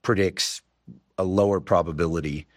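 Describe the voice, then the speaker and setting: A man from the San Francisco Bay Area hosting a casual science conversation, telling stories in a drawn-out, thoughtful way with restarts. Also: low-pitched, fairly even intonation, no echo, studio quality.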